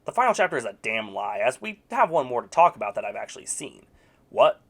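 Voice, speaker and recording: Brief: blunt, sarcastic movie reviewer, pitch swooping all over, casual swearing. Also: big pitch swings, fast talker, fairly bright tone.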